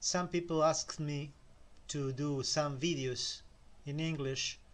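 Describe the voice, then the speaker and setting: A middle-aged man with a Spanish accent, a native Spanish speaker, giving a slow, measured motivational talk with pauses. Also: fairly high pitch, steady tone. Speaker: self-help speaker.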